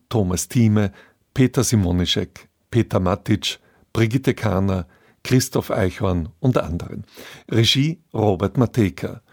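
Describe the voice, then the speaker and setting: A man's voice: fairly low pitch, darkish tone, dry recording, studio quality. A man reading closing credits as a formal, scripted radio announcement.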